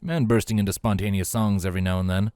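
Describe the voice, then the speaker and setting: A gruff stallion captain, voiced by a man reading aloud, speaks in a low register with a deadpan, dryly mocking manner. The sound is close and dry, like a storybook told right at the mic. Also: fast talker, no echo, lightly acted. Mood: dry teasing.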